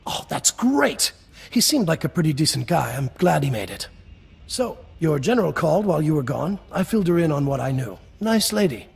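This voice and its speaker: A man playing a friendly, earnest middle-aged small-town mayor in a video game, warm, effusive and a little hesitant. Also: on the high side, sing-song, fairly quick, gravelly, breathy edge, exaggerated voice. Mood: chatty, earnest.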